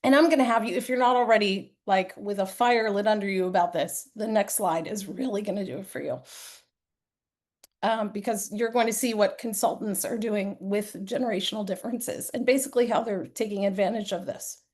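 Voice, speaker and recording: Woman, hoarse from a cold, throat clearing, earnest conference presenter, conversational with fillers. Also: medium pitch, fairly even intonation, fairly quick, darkish tone. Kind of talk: live-streamed conference talk.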